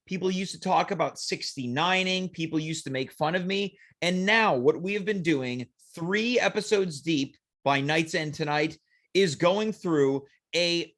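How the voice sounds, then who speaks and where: Guy hosting a show, kinda high voice, really up and down, theatrical pauses. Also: fairly bright tone. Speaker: talk show host. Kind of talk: deliberate, theatrical show intro and banter.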